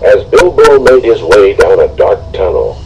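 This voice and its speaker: A man reading a storybook aloud with expressive, dramatic narration, on a noisy home recording. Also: on the low side, moderate pace. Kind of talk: scripted storybook read-along narration.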